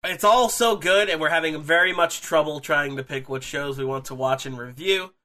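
A man's voice: a little high, moderate pace, pushed and bright. A man hosting a casual podcast while sick with a cold, sniffly and with a scratchy throat, talking energetically with many fillers. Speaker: podcast host. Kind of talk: casual wrestling podcast chat.

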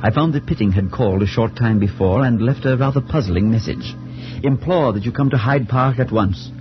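An actor playing a grave, troubled priest who narrates in a measured, solemn 1940s radio suspense style. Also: male voice, medium pitch, natural voice. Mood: somber, brooding. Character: grave, troubled male priest, shaken but reasoning. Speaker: narrator and character. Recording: dull 1940s radio recording with music.

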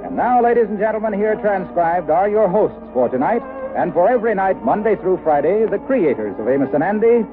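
A man's voice: high voice, sing-song, fairly quick. A man announcing in the formal style of a 1950s radio show introduction. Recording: tinny vintage radio broadcast with music. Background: music.

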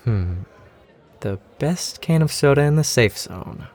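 Audio drama role: friendly young male newcomer, natural, relaxed and gently joking delivery. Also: medium pitch, slow pace, some chatter behind. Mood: playful. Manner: casual, lightly joking.

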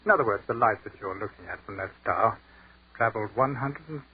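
An actor playing an educated army captain who speaks in a crisp, articulate and lyrical way, in a 1940s radio drama. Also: male voice, fairly low pitch, fairly quick. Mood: measured. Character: educated male army captain, dreamy and commanding. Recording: tinny, hissy 1940s radio broadcast.

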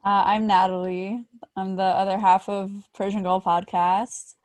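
American woman co-host, mid-pitched, dry recording, casual chat. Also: little pitch movement, measured pace, a little mellow, dry recording. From Great Neck, New York. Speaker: podcast co-host. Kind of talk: casual podcast conversation.

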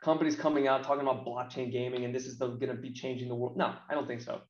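A man's voice: mid-range voice, steady tone, rapid-fire. American man, thirties, mid-range voice, blunt colloquial talk, roomy echo, slightly dull sound. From United States. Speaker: interview guest, games marketing professional. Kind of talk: casual opinionated chat.

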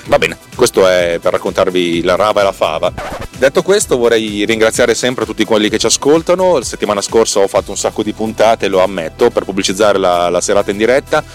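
A man with a fairly low voice talks through technical matters as a podcast host. His delivery is colloquial and rambling, doubling back on words for emphasis in a self-deprecating way. Faint music sits behind his voice. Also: a little fast. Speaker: podcast host and software developer. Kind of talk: casual solo podcast monologue, technical explanation.

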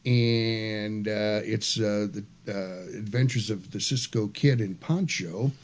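A man hosting a radio show in a studio, casual and halting, with many fillers. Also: on the low side, steady tone, darkish tone.

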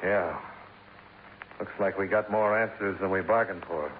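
An actor playing a cool, tough American investigator, clipped and sardonic, in 1950s radio detective style. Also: male voice, low-pitched, fairly even intonation, measured pace. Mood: brisk, suspicious. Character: cool, wry, tough male insurance investigator. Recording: noisy, narrow-band 1950s radio recording.

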